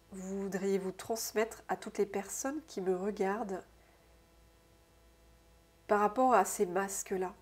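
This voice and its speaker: A woman with a stuffy, congested nose from a cold, giving an intuitive oracle card reading to her viewers, musing aloud softly and often tagging her sentences with "hein". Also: medium pitch, breathy edge. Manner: soft, unhurried, musing aloud with frequent "hein". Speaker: soul therapist and oracle card reader.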